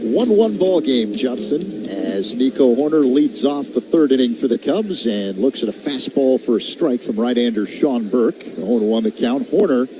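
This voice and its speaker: A man's voice: mid-range voice, low-quality recording. A man doing live radio play-by-play commentary of a baseball game. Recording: steady background noise.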